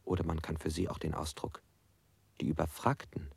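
An actor playing a bound, beaten male prisoner in a radio drama, talking in a halting, bitter, musing way. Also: rumbling low, fairly even intonation, gravelly, heavy background noise. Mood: brooding, bitter.

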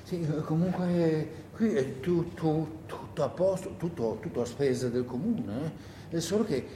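A man's voice: a little slow, warm tone, natural voice. A man playing a timid, flustered village clerk in a stage play, hesitant, fretful and stammering. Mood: agitated, fretful. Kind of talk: solo theatre monologue. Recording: echoey room with noise and rumble.

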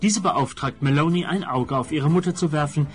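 A man's voice: a little high, normal speed. A man announcing a radio play and recapping the story in a scripted narration.

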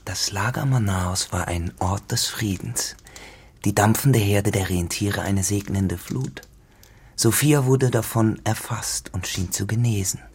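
An actor playing a lonely, accusing farmer in a literary radio drama, with a husky voice. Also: male voice, lowish voice, unhurried, darkish tone, heavily acted. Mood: accusing, grave. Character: lonely, accusing, menacing male farmer, a spurned rival.

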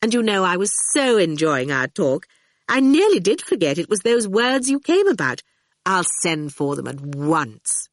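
A woman's voice: on the low side, very animated, moderate pace, no room sound. Woman reading as a haughty, glamorous lady ruler. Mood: gracious. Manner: pouting, cold then gracious. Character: haughty, glamorous, ageless woman ruler, sweetly condescending, icily angry. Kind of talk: audiobook character voice.

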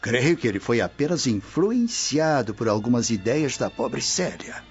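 Older Roman patrician, stern, conservative, Brazilian accent, medium pitch, dull recording, faint music. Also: male voice, big pitch swings, a little slow. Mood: dismissive. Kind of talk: radio drama acting. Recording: dull, muffled studio track.

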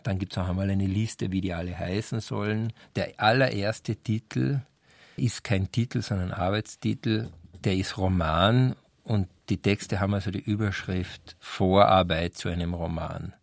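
Low, gravelly man explaining like a scholar. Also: fairly even intonation, moderate pace, no room sound. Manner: explanatory, enumerating point by point. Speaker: literary scholar commenting. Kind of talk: explanatory talk on a novel's history.

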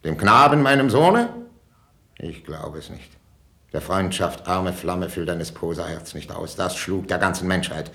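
A man's voice: low-pitched, flat delivery, measured pace, vocal fry. An actor playing a bitter, wounded ageing king in a classical 1950s radio drama, declamatory and imperious. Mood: anguished, bitter. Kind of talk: classical 1950s German stage-style radio acting.

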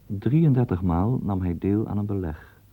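A man narrating a historical radio program in a measured, ironic storytelling manner. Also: fairly low pitch, breathy edge. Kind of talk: scripted documentary narration. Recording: tinny phone-like old broadcast.

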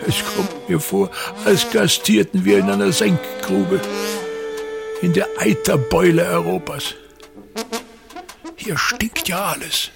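An embittered old actor-manager in a radio drama. His voice is fairly high for a man, slow and full of gravel. He declaims with pompous, grandiose emphasis, hammering on his repetitions. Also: exaggerated voice. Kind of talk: theatrical radio play monologue.

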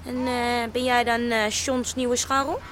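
An actress playing a fiery, indignant mother in a radio play, with a sharp-tongued, sarcastic way of talking. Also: a little high, flat delivery, a little slow, a little hiss or hum. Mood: scornful, sarcastic. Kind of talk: naturalistic radio play dialogue.

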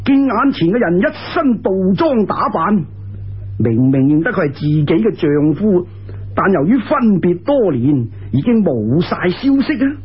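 A man's voice: high voice, big pitch swings, a little slow, breathy edge, highs cut off. A man with a Cantonese accent telling a folk tale in a dramatic, emphatic storytelling style.

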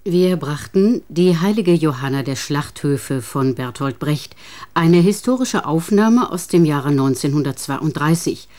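Female radio announcer, low and dark-toned, scripted read. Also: expressive, unhurried.

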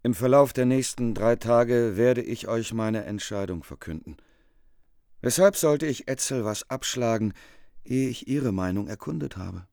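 An actor playing a measured, deliberating medieval king in a radio drama. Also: medium pitch, creaky, a little mellow, no echo, clean studio sound.

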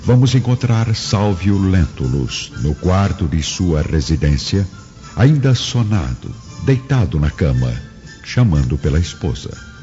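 A man's voice: deep voice, sing-song, unhurried, dark tone. A man narrating a scripted radio drama in a theatrical, solemn storytelling manner. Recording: dull lo-fi audio, long reverb, music.

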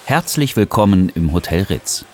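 A man playing a polite, attentive hotel receptionist in a scripted language-course dialogue, courteous and clearly enunciated. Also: deep voice, sing-song, normal speed, a little mellow, a little hiss or hum. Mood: welcoming.

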